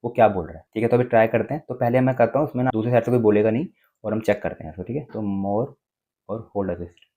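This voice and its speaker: A man's voice: lowish voice, very fast, dark tone. An Indian-accented man presenting a casual step-by-step phone tutorial, explaining and often checking in with short tag words.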